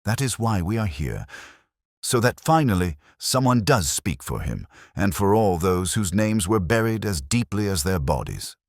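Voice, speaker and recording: Guy narrator doing a solemn courteous police inspector, measured, low and husky, mystery audiobook. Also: very animated, dry recording, clean studio sound. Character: courteous, quietly grave male police inspector.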